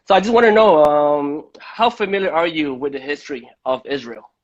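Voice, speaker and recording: A man's voice: fairly high pitch, a little fast. A man from Malaysia hosting a casual live-stream interview, often repeating words before he goes on. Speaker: host of a live-stream debate. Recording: dull lo-fi live-stream audio.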